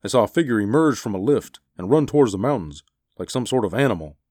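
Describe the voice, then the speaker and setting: A man voicing a confident, brisk military pilot in an audiobook story. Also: lowish voice, animated, a little mellow, no room sound, studio quality, voice pushed up. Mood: excited. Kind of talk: audiobook character dialogue.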